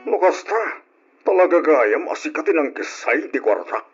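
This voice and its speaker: An actor playing a stern, reproachful father in an old-style radio drama, speaking with husky emphasis. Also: a little high, sing-song, normal speed, muffled recording, music in the background. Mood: angry accusing.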